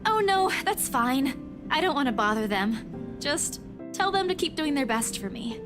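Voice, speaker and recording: A woman's voice: sits high, big pitch swings, measured pace, over music. An actress playing an anxious, dutiful young woman in a visual novel game. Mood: caring, cheerful front.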